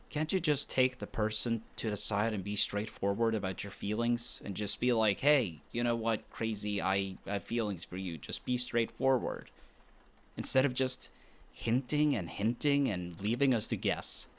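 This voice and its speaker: A man's voice: fairly low pitch, natural voice. A man playing a flustered, defensive young best friend who is secretly smitten, in an intimate romantic audio roleplay. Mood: exasperated, defensive. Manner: defensive, bickering, halting when shy.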